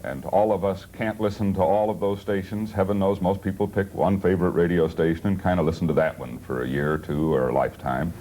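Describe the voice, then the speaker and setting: Low, mellow-voiced male talk show host. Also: steady tone, fairly quick, hardly any pauses. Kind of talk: casual talk show introduction. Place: television studio. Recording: hissy 1970s TV broadcast audio.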